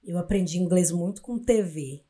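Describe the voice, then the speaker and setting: A woman's voice: fairly low pitch, normal speed, warm tone. A woman from in Brazil from that state, co-hosting a podcast and telling anecdotes in casual, slangy talk. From Brazil, Minas Gerais. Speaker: podcast co-host.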